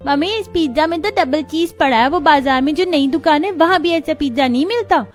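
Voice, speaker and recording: A woman's voice: high voice, brisk, lightly acted. A woman voicing an eager, wheedling, hungry little child in a cartoon story. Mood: impressed. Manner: excited, wheedling. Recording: music behind the voice.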